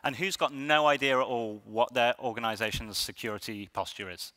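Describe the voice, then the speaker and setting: A man's voice: mid-range voice, fairly even intonation, brisk, pushed and bright. A man giving a conversational conference talk, with frequent ums and restarts. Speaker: conference speaker. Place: lecture hall. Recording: reverberant hall.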